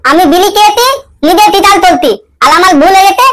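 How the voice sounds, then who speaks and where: Cheeky cartoon schoolboy, extremely high-pitched, rapid, whining, foul-mouthed, childish pronunciation. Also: male voice, fairly bright tone. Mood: pleading. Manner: whining, pleading, childish mispronunciations. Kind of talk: amateur cartoon comedy dub.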